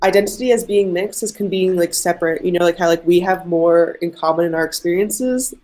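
A woman's voice: fairly low pitch, brisk, a little mellow. A young woman, an artist guest, chatting casually in an interview, rambling with many "like" fillers. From mixed Egyptian and Irish family. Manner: rambling, heavy use of "like" fillers.

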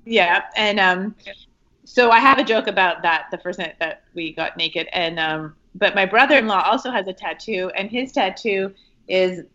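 A woman's voice: medium pitch, moderate pace, sharp tone, muffled recording. A woman comedian telling stories in a casual interview, chatty and hesitant, with many ums and restarts. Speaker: comedian guest. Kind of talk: casual storytelling chat.

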